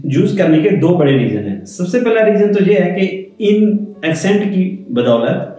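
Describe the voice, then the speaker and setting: Male tutor, slow and mellow, lively pitch, very echoey room. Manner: slow, patient explanation, repeating key words. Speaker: French teacher. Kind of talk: explaining to viewers.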